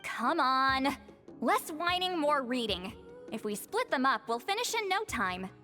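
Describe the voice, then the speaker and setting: A composed scholarly woman from a video game, with a fairly high voice. They speak in a measured, articulate, gently firm way over faint music. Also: sing-song. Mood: gently chiding.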